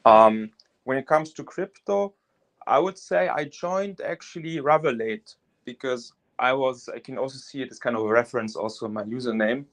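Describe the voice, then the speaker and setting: A man's voice: mid-range voice, normal speed. A man whose native languages are German and French, speaking English with that accent as a guest, careful and a little hesitant. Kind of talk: casual interview talk.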